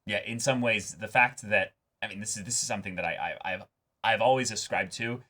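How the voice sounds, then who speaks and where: Male co-host, lowish voice, casual commentary, earnest delivery with halting, repeated phrasing. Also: sharp tone. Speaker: reaction show co-host.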